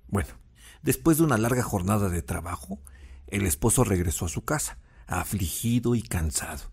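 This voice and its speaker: An actor playing a fatherly storyteller with a husky voice and Mexican accent, narrating a legend unhurriedly in an audio drama. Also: on the low side, darkish tone.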